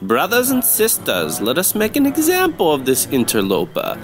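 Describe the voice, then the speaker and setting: A menacing cult leader declaims in a high, strained pitch over music. He is grandiose and ceremonial, straight out of an old radio horror play. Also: wildly swooping pitch, normal speed. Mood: exalted, commanding.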